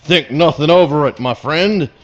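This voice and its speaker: Radio play bloodhound, acted by a man: pompous, courtly, theatrical, raised pitch, slightly dull live recording. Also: moderate pace, faint music behind, lightly acted. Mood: smug, courtly. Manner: grandiloquent, theatrical, flowery formal diction. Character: pompous, clumsy male bloodhound, self-styled faithful companion.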